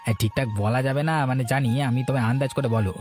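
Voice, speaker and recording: A man's voice: medium pitch, steady tone, quick pace, darkish tone, soft background music, lightly acted. A man voicing a sensible, weary working husband in a cartoon story, plain-spoken and homely in his talk. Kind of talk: Bengali cartoon story dialogue.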